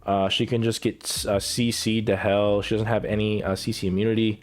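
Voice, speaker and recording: A man's voice: fairly low pitch, little pitch movement, moderate pace, faint music behind. A man giving casual, rambling commentary as a gaming creator, swearing freely and full of fillers and restarts, with a cough as he comes down with a cold. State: getting sick, coughing. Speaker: gaming content creator ranking characters.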